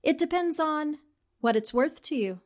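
A woman's voice: high voice, expressive, normal speed. An actress playing a determined, poised and polite newspaperwoman in a 1940s radio drama. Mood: cool, coy.

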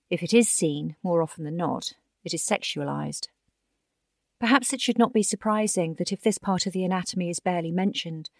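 A woman with a fairly low voice narrates a magazine article. Her delivery is a scripted long-form read. The recording is dry and close, with little room sound. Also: darkish tone, dry recording.

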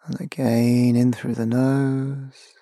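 A man leading a guided mindfulness meditation, giving gentle, measured instructions with long pauses. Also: medium pitch, flat delivery, no echo, clean studio sound.

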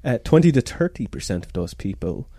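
A man's voice: mid-range voice, very animated, a little fast, warm tone, dry recording, clean studio sound. A man in casual podcast chat, explaining things hesitantly with lots of fillers and restarts.

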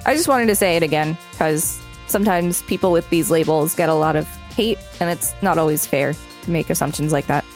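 A woman's voice: low-pitched, brisk, few pauses, soft background music. A woman co-hosting a podcast, reading encyclopedia material aloud with some casual commentary. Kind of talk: scripted narration read aloud, with brief casual commentary.

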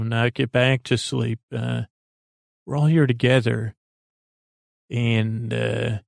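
A man hosting a bedtime podcast in a gentle, reassuring, informal way, meandering and halting with many false starts, in a creaky, gravelly voice. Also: medium pitch, no room sound, studio quality. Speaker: podcast host. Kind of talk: rambling, soothing bedtime podcast monologue.

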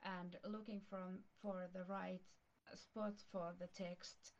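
Slow-talking Finnish woman with creaky voice. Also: fairly low pitch, little pitch movement, lo-fi sound. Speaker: podcast guest talking about her video diary. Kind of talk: casual chat.

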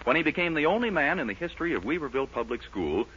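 A man's voice: fairly high pitch, very animated, racing, fairly bright tone, slight background noise. A man announcing a 1940s radio show, reading scripted copy with an emphatic, polished salesman's delivery. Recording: dull, lo-fi 1940s radio recording.

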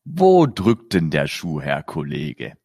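A man voicing a calm, wry male detective colleague who speaks in a dry, expert, placating way. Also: a bass voice, slow, vocal fry, husky, dry recording, full character voice. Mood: probing.

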